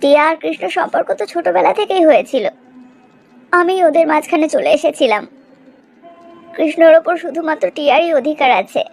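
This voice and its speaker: Gentle high-pitched young cartoon daughter, played by a woman. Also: brisk, vocal fry, pauses often, soft background music. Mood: sad, pleading. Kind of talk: cartoon drama dialogue.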